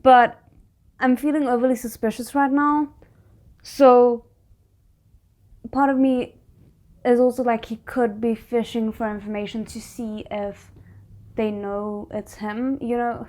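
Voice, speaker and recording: A woman giving a casual live reaction to a drama series, thinking aloud in an informal, expressive way. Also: on the high side, normal speed, long pauses. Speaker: reaction video host.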